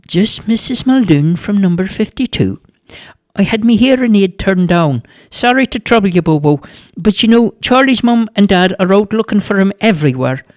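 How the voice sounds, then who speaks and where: A man's voice: sits high, a little mellow, no room sound, pitched above the performer's own voice. A man doing a fussy old neighbour lady. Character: chatty older Irish woman, neighbour.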